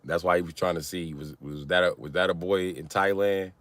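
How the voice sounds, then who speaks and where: A man hosting a casual livestream, teasing and swearing as he banters with viewers in an animated, exaggerated way. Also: fairly quick, no room sound. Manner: teasing, profane mockery; animated, breaks into high-pitched exaggerated mimicry. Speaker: livestream host chatting with viewers.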